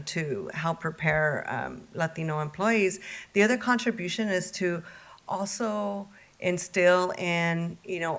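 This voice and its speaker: A woman police commissioner giving remarks at a public meeting, halting, with many fillers. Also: fairly low pitch, a bit bright.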